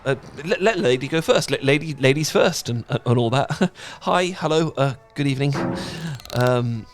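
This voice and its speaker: A British man playing an awkward, flustered, dorky young man in a romantic audio roleplay, stammering, halting and apologetic as he rambles. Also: medium pitch, animated, soft background music, natural voice. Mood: nervous, polite. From Britain.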